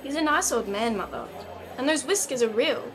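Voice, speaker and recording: A woman's voice: sits high, lively intonation, brisk, a crowd in the background. A female performer playing a frank, sceptical little girl in a radio play, blunt and matter-of-fact. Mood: earnest. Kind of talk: old-time radio play acting.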